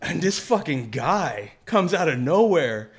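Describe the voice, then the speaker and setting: A man's voice: fairly high pitch, sing-song, unhurried, slightly rough. A man co-hosting a podcast, telling stories in casual, slangy talk and often repeating his phrases. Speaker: podcast co-host. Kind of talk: casual chat and anecdote telling.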